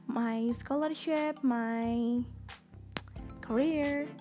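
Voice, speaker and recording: A young woman with an Indonesian accent hosts her podcast in a casual, chatty monologue, full of fillers. Her voice sits fairly high and she talks at an unhurried pace. Faint music runs underneath. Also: fairly even intonation, warm tone. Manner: talkative, chatty with many fillers. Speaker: podcast host.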